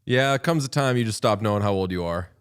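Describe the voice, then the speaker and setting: This is a man in his late thirties with a smooth, clear voice, chatting loosely during a hockey watchalong. He tells long, wandering stories with dry humor and drops the odd curse word. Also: on the low side, fast. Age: 30s. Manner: wry, rambling storyteller who swears. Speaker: podcast co-host. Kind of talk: casual watchalong chat.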